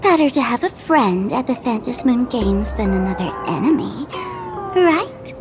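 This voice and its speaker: A woman's voice: fairly high pitch, expressive, a little slow, over music. A woman playing a mischievous, smug young female trickster in a video game, with a teasing, theatrical manner. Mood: playful, smug. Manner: teasing, theatrical, speaks of herself in third person. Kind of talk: video game character acting.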